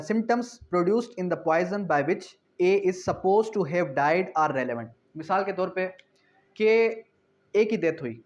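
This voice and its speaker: Law lecturer, male, notably high register, methodical and repetitive teaching delivery, slightly muffled low-fidelity sound. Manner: repetitive, explanatory, asks and answers own questions. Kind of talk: lecture explaining statute with examples.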